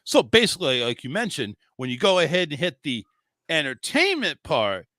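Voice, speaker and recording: A man's voice: on the high side, wildly swooping pitch, normal speed, sharp tone, dry recording. A man hosting a talk show, rambling and joking crudely in casual chat. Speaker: show host.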